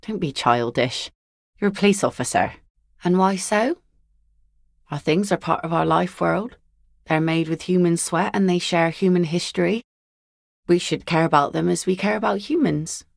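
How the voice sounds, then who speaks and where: A weary, working-class fisherwoman talks in a blunt, matter-of-fact way. Her voice sits a little low and has a gravelly rasp. The recording is close and dry, like a game studio booth. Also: normal speed, no echo. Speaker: game character voice lines. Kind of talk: video game voice acting.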